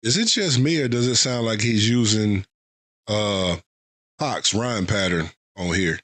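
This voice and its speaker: A man's voice: lowish voice, a little slow, fairly bright tone. Congested man reviewing an album. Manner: loose, thinking aloud with many fillers. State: getting over a head cold. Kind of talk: casual reaction commentary.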